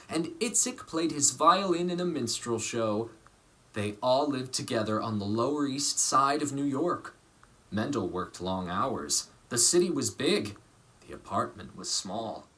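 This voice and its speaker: Man, children's storybook narration, animated intonation, medium pitch, measured phrasing, dramatic pauses. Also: rough recording. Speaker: storybook reader. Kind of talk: expressive scripted storytelling for children.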